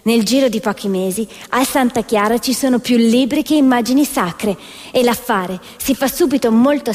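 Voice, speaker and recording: A woman's voice: on the high side, darkish tone. A woman actress delivering scripted theatrical narration in a declamatory storytelling manner. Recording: reverberant hall with music behind. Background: music.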